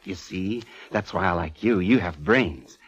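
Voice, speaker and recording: An actor playing an eccentric, gleefully morbid ageing composer in a 1940s radio drama, with a flamboyant, florid manner. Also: male voice, sits low, expressive, measured pace. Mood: elated, morbid. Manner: flamboyant, effusive, chuckling, florid. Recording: muffled vintage 1940s radio recording.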